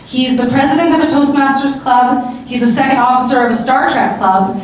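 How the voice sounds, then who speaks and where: High-voiced woman moderating a panel. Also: a little fast, slight background noise. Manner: upbeat, standing with handheld mic. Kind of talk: hosting a live panel. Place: large convention hall. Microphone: handheld mic. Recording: echoey hall, camera from far off.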